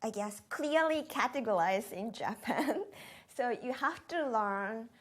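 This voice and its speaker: A woman's voice: fairly high pitch, animated, unhurried. Hesitant, thoughtful woman. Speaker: interviewee. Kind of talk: explanatory reflection.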